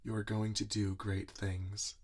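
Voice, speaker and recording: A man playing a gentle, earnest monster inventor in an ASMR roleplay, speaking softly in a soothing, deliberate, reassuring way. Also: lowish voice, little pitch movement. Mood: encouraging, resolute. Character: gentle, earnest male monster inventor tending his robot creation. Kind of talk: soft-spoken ASMR roleplay. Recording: audible background noise, typing and clicks. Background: computer keyboard typing and clicks.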